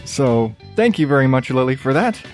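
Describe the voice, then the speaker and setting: A man talks through his own podcast with a soothing, even voice and a loose, rambling way of speaking. He swears freely and keeps things casual. Faint music sits quietly underneath him. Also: mid-range voice, moderate pace. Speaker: podcast host. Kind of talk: casual solo podcast chat.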